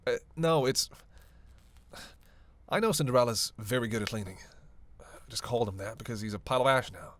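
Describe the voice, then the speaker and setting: A man playing a snarky, self-serving male vampire who teases the listener in a casual, sarcastic roleplay audio drama, with a creaky voice. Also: mid-range voice, lively intonation, brisk, pauses often, fairly bright tone, no room sound, lightly acted. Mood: wry explaining. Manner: casual, sarcastic, teasing, cursing.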